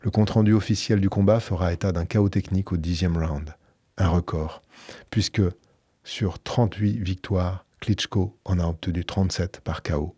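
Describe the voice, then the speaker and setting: A man who is a radio book columnist, reading a book aloud in a measured, emphatic way and then reviewing it, in a radio studio. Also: low voice, fairly even intonation, dark tone, husky, no room sound.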